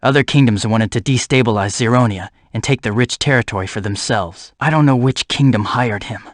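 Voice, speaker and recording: A man acting as a haunted video game assassin bent on revenge. He speaks in a grave, measured way at a medium pitch. The recording is dry and close. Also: no room sound, close to an everyday voice. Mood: grave, resigned. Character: brooding vengeful male assassin, haunted by his past.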